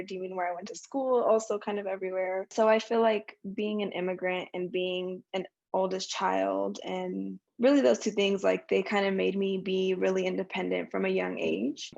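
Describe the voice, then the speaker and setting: A woman's voice: mid-range voice, creaky, darkish tone, lo-fi sound. A young woman, chatting reflectively and informally as a podcast guest, saying "like" often. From San Francisco Bay Area, US, born in Colombia. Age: young adult. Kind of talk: casual interview conversation.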